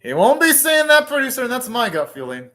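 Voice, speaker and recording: A man's voice: very high voice, wildly swooping pitch, brisk, sharp tone. A man hosting an anime reaction, commenting casually and thinking aloud in short, rambling remarks.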